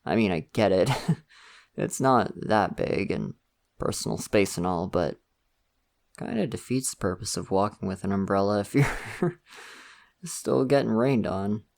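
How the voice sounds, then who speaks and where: A man's voice: on the low side, a little slow, creaky, long pauses. A young man voicing a friendly, slightly shy college student in an ASMR roleplay, talking casually and laughing often. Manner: casual, laughs often, soft-spoken and chatty.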